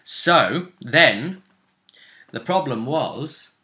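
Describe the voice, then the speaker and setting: A man recapping a tabletop role-playing session as game master, in a casual, animated storytelling manner. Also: mid-range voice, drawn-out words.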